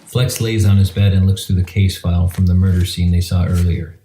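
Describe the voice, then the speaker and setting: A man's voice: low voice, little pitch movement, brisk, mellow, a little hiss or hum. A man reading scripted, screenplay-style narration for an audio drama. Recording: roomy echo with faint music.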